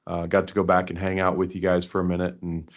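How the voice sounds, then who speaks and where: A man, a county commissioner, making relaxed, joking remarks at a public meeting. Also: deep voice, quick pace.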